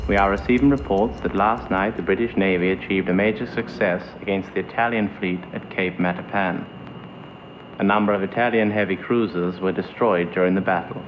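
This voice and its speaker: An actor playing a grave, formal British newsreader giving a measured 1940s wartime radio bulletin. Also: male voice, low-pitched, highs cut off, noisy background, lightly acted.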